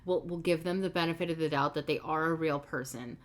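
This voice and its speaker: A woman hosting a reaction video, giving blunt, sarcastic commentary while pausing clips. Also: deep voice, fairly even intonation. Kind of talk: commentary over paused clips.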